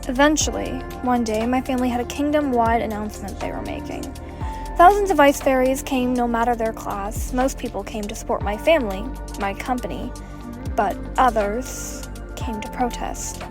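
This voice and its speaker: A woman's voice: a little high, normal speed, music in the background, lightly acted. A woman playing a haughty, guarded young ice fairy heiress in a voiced roleplay drama, telling her story dramatically. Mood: sorrowful, intense.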